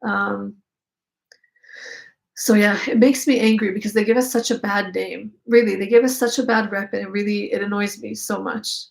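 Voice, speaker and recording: A woman's voice: medium pitch, steady tone, moderate pace, gravelly. A young woman with an Israeli accent, a native Hebrew speaker, hosting a casual live chat and answering viewer questions. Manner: chatty, reads out viewer comments, many fillers.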